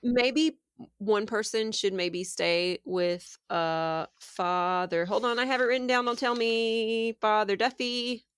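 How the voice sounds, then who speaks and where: A woman's voice: fairly high pitch, slow pace, smooth, no echo, clean studio sound. A woman chatting casually as a player in a tabletop role-playing game. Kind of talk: casual chat.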